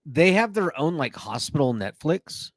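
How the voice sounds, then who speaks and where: A man's voice: fairly high pitch, sing-song, a little slow, no echo. A man co-hosting a podcast, telling a long personal story in casual chat with plenty of fillers and restarts. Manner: talkative storyteller, many fillers. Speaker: podcast co-host.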